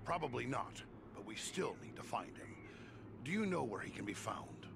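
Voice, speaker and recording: Creaky-voiced, plainspoken adventurer. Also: male voice, mid-range voice, big pitch swings, normal speed, background noise. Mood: businesslike. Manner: direct, matter-of-fact. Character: plainspoken, resolute male adventurer. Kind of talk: fantasy game voice acting.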